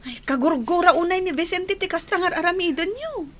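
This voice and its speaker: A woman's voice: extremely high pitch, expressive, a little fast, fairly bright tone. An actress with an Ilocano accent playing a concerned young woman who scolds her drinking father in a radio drama. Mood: scolding.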